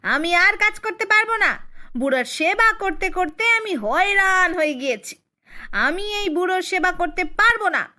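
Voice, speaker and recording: A bossy young cartoon wife played by a woman with a very high, piercing voice. She nags forcefully and insistently, thoroughly fed up. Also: animated, moderate pace, full character voice. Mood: exasperated. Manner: scolding, insistent. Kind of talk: children's cartoon dubbing.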